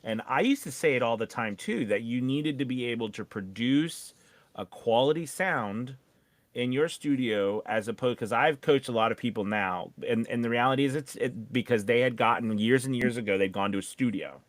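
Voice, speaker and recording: A man coaching in a conversational live discussion, explaining in a halting way with repeated words. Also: medium pitch, no room sound. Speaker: voiceover coach and co-host.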